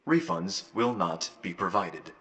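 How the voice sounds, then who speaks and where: A man's voice: deep voice, sing-song, measured pace, very noisy. A man playing a slick, polished announcer for a futuristic dating company in a scripted audio roleplay. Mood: slick, official. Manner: polished, salesman-like. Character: slick, upbeat male corporate announcer for futuristic dating service.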